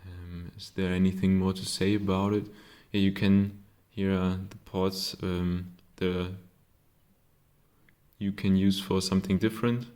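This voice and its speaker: A man's voice: sits low, flat delivery, measured pace, a little mellow. A man from Germany with a German accent, a non-native speaker, casually showing his own circuit board, hesitant with many ums and self-corrections. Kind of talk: casual show-and-tell.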